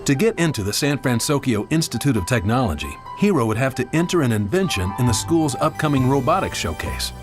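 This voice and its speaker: A man's voice: medium pitch, very animated, a little fast, hardly any pauses. A man reading a scripted children's read-along storybook as its narrator. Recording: music bed behind the voice. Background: background music.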